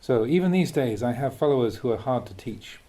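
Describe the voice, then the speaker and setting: A man reading a Buddhist Dhamma talk aloud as a monk, in a measured, expressive way with emphatic stresses. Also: mid-range voice.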